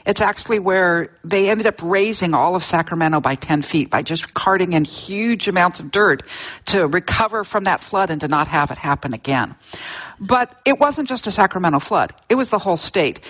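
An older woman from Southern California, a scientist guest telling disaster history in a relaxed interview, with frequent restarts. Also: mid-range voice, low-quality recording. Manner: explanatory, with frequent restarts and fillers. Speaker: seismologist guest discussing her book.

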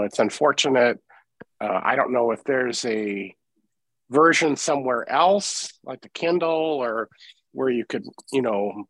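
A man giving his opinions in a casual group discussion, halting and thoughtful, often correcting himself as he goes. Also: mid-range voice, a bit bright, dry recording. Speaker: book group participant.

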